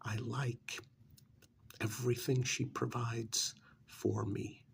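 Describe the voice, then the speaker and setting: A very creaky, gravelly male actor as a smug vampire's familiar pitches the pampered life in an ASMR role-play. He speaks slowly and conversationally, coaxing and dismissive, often sinking into a breathy near-whisper. There is faint noise behind him. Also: flat delivery. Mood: defensive, persuasive. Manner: conversational, cajoling, with rhetorical questions and pauses.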